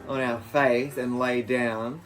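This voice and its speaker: A man's voice: medium pitch, flat delivery, takes it slowly, faint music behind, poor audio. A man in his late twenties with an Australian English accent, chatting playfully and teasing his siblings. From Japan. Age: late 20s. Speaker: eldest brother. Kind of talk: casual family chat.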